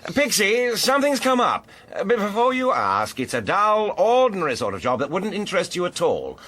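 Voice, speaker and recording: Dashing British adventurer, swooping bright voice. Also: male voice, sits high, huge pitch swings, brisk, exaggerated voice. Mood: brisk, evasive. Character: dashing tongue-in-cheek male adventurer, cheerful and teasing. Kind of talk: 1930s detective radio drama acting.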